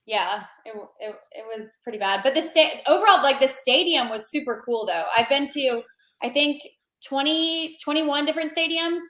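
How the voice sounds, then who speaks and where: Woman, guest on a casual podcast, slightly high voice, roomy echo. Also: animated, moderate pace, a bit bright. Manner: chatty, many fillers and restarts.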